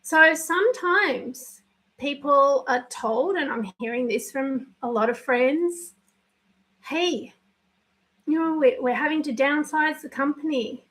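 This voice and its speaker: A woman with a husky, slightly worn voice that still sits fairly high, talking to viewers in an unscripted live video. She goes slowly and pauses often, picking her words carefully and apologizing along the way, like a coach talking things through. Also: a bit bright, poor audio. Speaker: life coach. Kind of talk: spontaneous live video talk.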